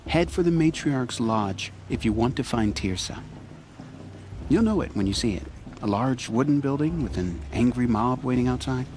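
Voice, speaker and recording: A man playing a gentle, grateful young tailor in a video game, eager and polite with soft humor. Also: mid-range voice, lively intonation, moderate pace, background noise, lightly acted. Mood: helpful, wry.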